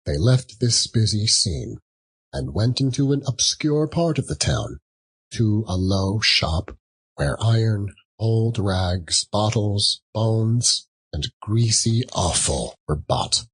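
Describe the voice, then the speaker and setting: A man narrating a classic story as a dramatic, measured audiobook reading. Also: fairly low pitch, animated, breathy edge. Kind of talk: dramatic scripted literary narration.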